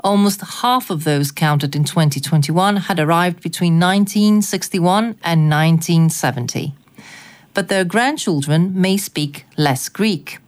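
A woman interviewer speaking in a measured, articulate and reflective way, as if from a prepared script. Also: lowish voice. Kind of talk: scripted, formal interview narration.

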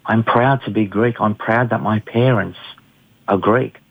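A man's voice: lowish voice, steady tone, unhurried, gravelly, no echo. A middle-aged man with an Australian accent answering interview questions in an earnest, emphatic, conversational way, often repeating phrases. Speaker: interview guest. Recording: narrow phone-like line, dry.

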